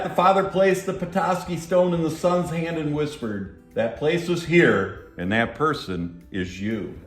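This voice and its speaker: An American man with a mid-pitched voice reads a children's storybook aloud. He moves through it phrase by phrase with gentle emphasis. The room is noticeably echoey, and music plays faintly behind him. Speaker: senator reading a children's book aloud.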